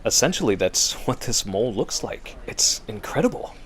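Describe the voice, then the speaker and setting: Man playing a muttering, low-voiced male doctor. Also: very animated, a bit bright, slight background noise. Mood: fascinated, brisk. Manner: measured, muttering. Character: concerned male doctor examining a strange mole. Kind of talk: radio drama acting.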